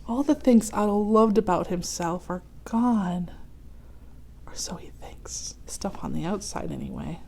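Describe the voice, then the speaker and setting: A woman hosts a children's story time at an unhurried pace. She reads expressively in a mid-pitched voice and pauses for asides, speaking straight to her young audience. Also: gravelly, a little hiss or hum. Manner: expressive, talks directly to children, explains as she goes. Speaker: read-aloud host for children. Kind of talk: animated picture-book read-aloud with asides to young listeners.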